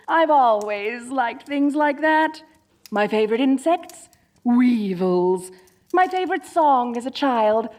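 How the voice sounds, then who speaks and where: A woman playing a dorky, theatrical evil sorceress queen in a comedic roleplay audio drama, grandiose and gleefully wicked yet soft-hearted and awkwardly chatty. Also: fairly high pitch.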